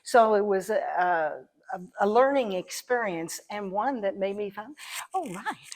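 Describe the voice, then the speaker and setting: A woman giving conversational interview answers as a guest, thoughtful and halting as she pieces her phrases together. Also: medium pitch, expressive. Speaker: interview guest, amateur stage actor.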